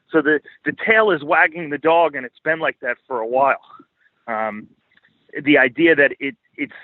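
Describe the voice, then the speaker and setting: Male podcast guest, slightly high-pitched, forceful and profane, arguing his views over a narrow phone-like connection. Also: no echo. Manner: blunt, emphatic, profane. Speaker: podcast guest and financial market commentator. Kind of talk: heated opinionated interview commentary. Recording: band-limited phone-like line, dry.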